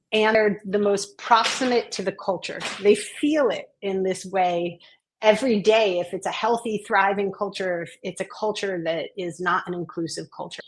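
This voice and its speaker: An earnest woman, a guest on an interview podcast, speaks at a mid pitch. She is reflective and thoughtful, and she grows lively as she tells her stories. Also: rough recording. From Maine, US. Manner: earnest, reflective, animated when telling stories. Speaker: guest, education consultant and author.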